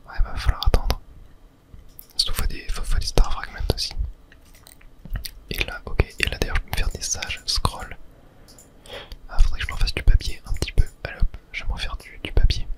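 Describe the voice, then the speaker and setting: Whispering Frenchman doing ASMR game commentary. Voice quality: breathy, unvoiced whisper. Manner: casual, murmured thinking aloud. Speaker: ASMR gaming video host.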